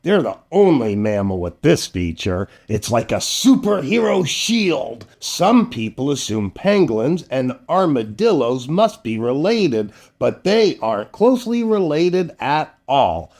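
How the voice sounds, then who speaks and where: A man's voice: mid-range voice, big pitch swings, measured pace, hardly any pauses, darkish tone. An older man hosting a children's storytime, speaking playfully and with exaggerated expression to young viewers, as an entertainer.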